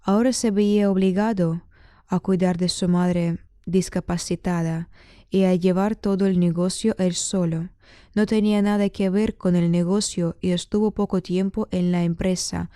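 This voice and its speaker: A woman with a soft-edged, mellow voice reads a dramatic story as its narrator. Her delivery is measured, with pauses between phrases. The recording is close and very dry. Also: on the low side, no echo.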